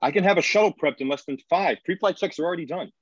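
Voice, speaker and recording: An actor playing an earnest colony leader trying to keep control in a screenplay table read, measured and placating. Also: male voice, fairly high pitch, husky, no echo, lo-fi sound. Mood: firm, practical.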